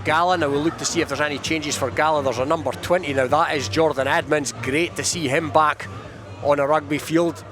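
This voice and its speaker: A Scottish man giving live radio rugby commentary in measured phrases with informal remarks, from an outdoor ground. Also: a little high, steady tone, over music.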